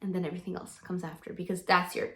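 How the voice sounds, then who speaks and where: A woman's voice: on the low side, level tone, fast talker. A young woman with Slovenian as her first language, chatting casually to the camera as a singer-songwriter answering fan questions, bubbly and gushing, with frequent ums and restarts. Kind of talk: casual Q&A vlog.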